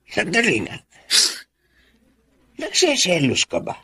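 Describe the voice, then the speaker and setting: A jokey old filipino husband in a radio soap, crackly voice, really animated, coaxing. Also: male voice, sits high, takes it slowly, husky, heavily acted. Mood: coaxing, reasoning. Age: older. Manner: joking, coaxing. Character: teasing, good-humoured old husband soothing his wife. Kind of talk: Filipino radio drama acting.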